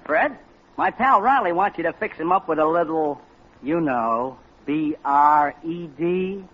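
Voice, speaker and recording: Shady wheedling hustler, high animated voice, muffled old radio comedy. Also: male voice, measured pace. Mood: wheedling, sly. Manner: glib, slangy, wheedling. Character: slick, shady male hustler, falsely wounded and persuasive. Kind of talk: 1940s radio comedy acting. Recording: dull, noisy old radio recording.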